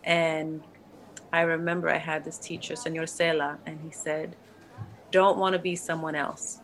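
A woman's voice: low-pitched, flat delivery, normal speed, low-quality recording. A woman interviewing a guest in a curious, engaged way. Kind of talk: conversational interview.

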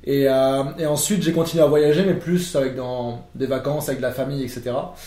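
A man's voice: mid-range voice, steady tone, fairly quick, warm tone. A young French man, a podcast guest, explaining at length in a casual conversation with many fillers and restarts. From France. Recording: echoey room.

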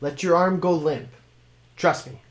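A man's voice: on the high side, measured pace, voice pushed up, natural voice. Man voicing a wry talking sword. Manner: formal, wry, commanding. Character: talking sword, former male blade master, wry.

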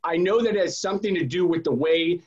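An eager male podcast host with a fairly high voice. He often stumbles over repeated words as he builds toward his questions. The recording sounds dull and lo-fi. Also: fast talker, darkish tone. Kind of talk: podcast interview.

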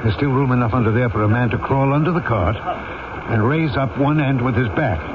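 An actor playing a grave, decisive ex-convict turned mayor in a radio drama, measured and authoritative. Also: male voice, medium pitch, phone-quality sound, background noise, lightly acted. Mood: urgent, commanding. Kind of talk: radio drama acting with first-person narration. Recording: thin old radio recording.

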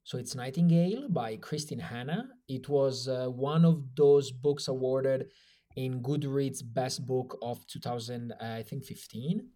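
Mellow, earnest man co-hosting. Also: mid-range voice. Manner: earnest, thoughtful, pausing with fillers. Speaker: podcast co-host. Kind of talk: casual podcast chat.

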